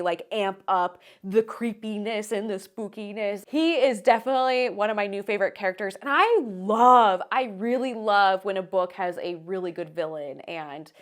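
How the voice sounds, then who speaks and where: A woman hosting a casual book vlog, talking to viewers in an enthusiastic, emphatic way with stretched-out stressed words. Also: mid-range voice. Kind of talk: casual chatty to-camera book review.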